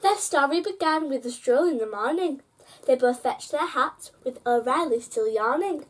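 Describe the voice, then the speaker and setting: Female storyteller with a high-pitched voice for children's bedtime picture books, engaging young listeners directly. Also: lively intonation, normal speed. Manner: addresses child listeners with prompting questions. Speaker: host reading children's picture books aloud.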